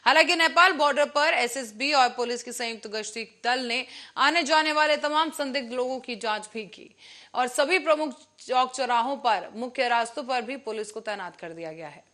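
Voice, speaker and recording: A woman's voice: sits high, normal speed, bright, forceful tone. A woman presenting the news as an anchor. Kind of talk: news presenting, scripted narration and live questions to a reporter.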